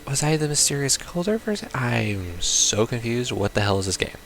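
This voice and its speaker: A man's voice: mid-range voice, very animated, normal speed, gravelly, fairly bright tone, a little hiss or hum. A man playing a visual novel, reading its text aloud casually with baffled, blunt asides. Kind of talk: casual let's play, reading game text aloud with confused reactions.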